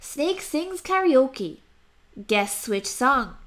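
A woman narrates a rhyming picture book for children. Her voice is high and very animated, and she takes her time, leaning on key words for dramatic effect. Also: very slow. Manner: expressive, dramatic emphasis. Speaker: storybook narrator.

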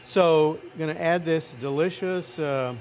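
A middle-aged man, a chef, talking through a recipe in a relaxed, chatty way in a restaurant demo kitchen. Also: on the high side, unhurried, background noise. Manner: relaxed, chatty step-by-step explaining. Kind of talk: cooking demonstration.

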